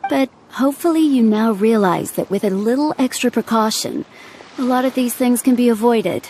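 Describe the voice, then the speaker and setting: An actress voicing a calm, practical female ship's surgeon in a game, patient and matter-of-fact as she instructs. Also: on the high side, normal speed, slight background noise, natural voice. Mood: instructive. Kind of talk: video game cutscene dialogue.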